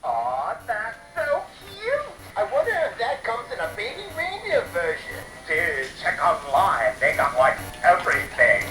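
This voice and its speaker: A woman's voice: on the low side, huge pitch swings, unhurried. Woman playing a sassy reindeer, swooping and echoey. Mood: sweetly amused. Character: silly, wisecracking reindeer helper of Santa. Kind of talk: children's talking storybook character acting. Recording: echoey playback with music behind.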